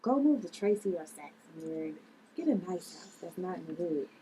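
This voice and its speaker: A woman's voice: lowish voice, lively intonation, moderate pace, a crowd in the background, natural voice, poor audio. A woman playing a generous, protective young woman in a plain, earnest script read. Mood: caring.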